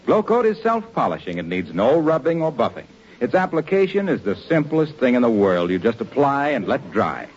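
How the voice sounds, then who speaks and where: A man's voice: medium pitch, sing-song, moderate pace, husky. A man working as a 1940s radio announcer, delivering polished, emphatic sales copy. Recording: dull 1940s radio recording, faint hiss.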